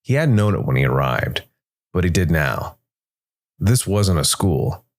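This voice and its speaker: A man narrating a scripted dark fantasy story in a measured, deliberate way. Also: low-pitched, big pitch swings. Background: music.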